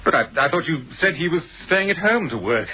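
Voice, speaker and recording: An actor playing an eager, aggrieved but outwardly polite employee in a radio drama, with a British accent. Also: male voice, a little high, sing-song, a little fast, husky. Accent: British English. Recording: dull, band-limited, faintly noisy radio sound.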